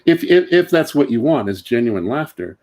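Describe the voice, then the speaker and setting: A man talking casually as a comedian giving advice, repeating phrases haltingly as he explains. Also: mid-range voice, big pitch swings, darkish tone.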